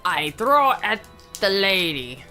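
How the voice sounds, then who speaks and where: A woman's voice: medium pitch, sing-song, slow pace, sharp tone, faint music behind. A woman playing a tabletop game, bantering casually with the group.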